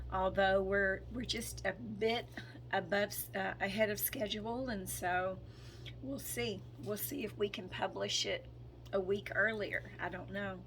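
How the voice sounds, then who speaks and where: Slow, hoarse woman giving an update. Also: mid-range voice, a little hiss or hum. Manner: slow, hesitant, many ums and throat clearing. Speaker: spiritual channel and author. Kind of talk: casual spoken update.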